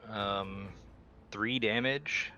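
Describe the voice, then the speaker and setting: Low, bright-edged man at a game. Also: takes it slowly, muffled recording. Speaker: tabletop game player. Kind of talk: casual game talk.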